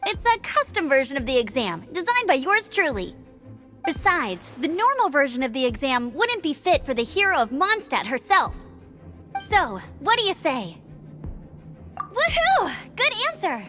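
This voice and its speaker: Very high-pitched, plucky young knight scout. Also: female voice, bright, forceful tone, heavily acted. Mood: upbeat. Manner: eager, brisk, earnest. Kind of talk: English video game voice acting. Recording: light music behind the voice. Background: game music.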